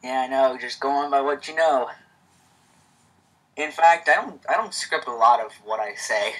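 A man's voice: medium pitch, normal speed, a bit bright, rough recording. Man with a stutter, reading aloud. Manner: stutters, with restarts. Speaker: host reading a fan story aloud. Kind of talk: casual chat, then story reading.

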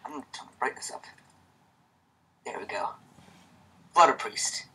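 Casual man with a stutter and a mid-pitched voice, chatting, then reading a story aloud. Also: lively intonation, normal speed, sharp tone, breathy edge, poor audio. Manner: stutters, with restarts.

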